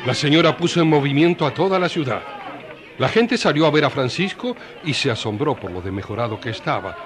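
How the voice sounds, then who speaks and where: A man's voice: fairly high pitch, lively intonation, brisk, music in the background, a crowd in the background. A man narrating a scripted story in a colloquial, storytelling style.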